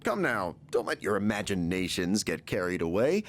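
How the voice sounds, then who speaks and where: A man playing a composed, suave, analytical leader in an English video game dub, measured and thoughtful. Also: deep voice, big pitch swings, faint music behind. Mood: amused, reassuring.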